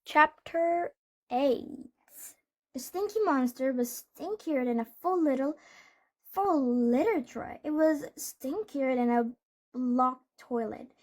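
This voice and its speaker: Slow-reading, high-voiced woman storyteller. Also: expressive, a little mellow. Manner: deliberate, with small stumbles and corrections. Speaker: read-aloud host. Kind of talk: children's storybook read-aloud.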